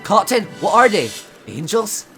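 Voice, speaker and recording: A man's voice: high voice, big pitch swings, unhurried, a bit bright, full character voice. A man playing an anxious First World War soldier in an amateur audio drama, urgent and agitated as he reports to his captain. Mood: alarmed. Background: dramatic music.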